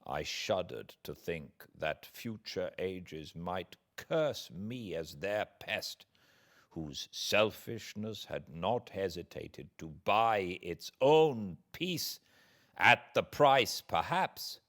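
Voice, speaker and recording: A British man reading classic literature aloud as a narrator, in a measured, theatrical, dramatic style. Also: low-pitched, animated. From Britain. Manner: measured, theatrical delivery with hushed passages and swelling emphasis.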